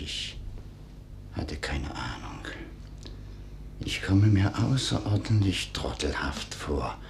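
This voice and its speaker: An actor playing a sardonic, easily exasperated husband nearing seventy in a 1950s German radio play. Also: male voice, extremely low pitch, creaky, noisy background, heavily acted. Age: 60s. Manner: sardonic, exasperated, dramatic swings of delivery. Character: indignant elderly betrayed husband, sardonic and unnerved.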